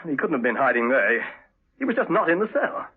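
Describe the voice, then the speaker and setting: An actor playing a shaken, earnest prison lieutenant in a 1970s radio drama, halting and deferential. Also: male voice, mid-range voice, very animated, slightly rough. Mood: bewildered. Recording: muffled old radio broadcast recording.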